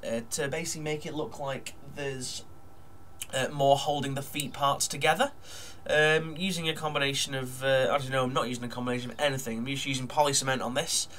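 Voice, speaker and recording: A man's voice: fairly high pitch, a bit bright, slight background noise. A man with a nasal, blocked-up voice from a cold, chatting casually at his desk while narrating a model build, full of ums and uhs. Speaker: hobby model builder vlogger.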